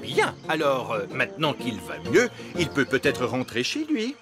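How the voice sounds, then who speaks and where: A man's voice: mid-range voice, huge pitch swings, normal speed, over loud music. An actor playing a mild, polite, hesitant fairy king and father in a French children's cartoon.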